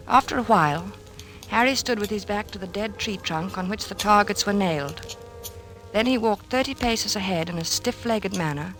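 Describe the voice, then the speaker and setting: An actress playing an anxious, devoted wife who narrates an old-time radio drama. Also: female voice, mid-range voice, fairly bright tone, close to an everyday voice, low-quality recording.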